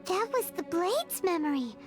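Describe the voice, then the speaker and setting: Super high squeaky little fairy sidekick, voice leaping all over, drawn-out words, game voice. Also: female voice, sing-song, over music. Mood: amazed. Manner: squeaky, bouncy exclamations. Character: tiny floating fairy-like female companion, chirpy and curious. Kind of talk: game voice acting.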